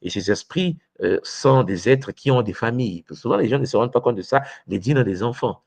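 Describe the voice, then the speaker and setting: A man's voice: mid-range voice, animated, brisk, gravelly, a little mellow, no echo. A man of Congolese origin teaching his followers in a spiritual lecture, often hesitating and stopping to check that listeners follow. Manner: frequent hesitations and rhetorical check-ins.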